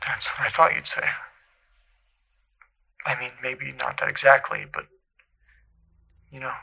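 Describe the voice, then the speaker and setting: A man playing a regretful, pleading caller to a radio show in an audio drama, talking earnestly and haltingly in a tense, creaky voice. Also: mid-range voice, fairly even intonation, sharp tone, full character voice. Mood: disappointed, wistful. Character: regretful, pleading male caller desperate for a second chance. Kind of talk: scripted audio drama, naturalistic acting.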